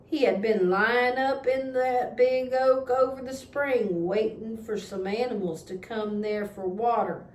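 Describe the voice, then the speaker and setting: A woman's voice: on the high side, unhurried, hardly any pauses, a little mellow, lightly acted. A woman voicing a plainspoken farmer uncle in a storybook, folksy and matter-of-fact. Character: plainspoken farmer uncle recounting a panther hunt. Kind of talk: children's storybook read-aloud. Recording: echoey room with background noise.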